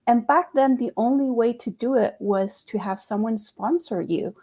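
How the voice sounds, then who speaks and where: A woman's voice: on the high side, normal speed, dark tone. A woman from Costa Rica, a panel guest, telling her story over a video call with occasional repeated words. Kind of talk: casual storytelling on a live web panel.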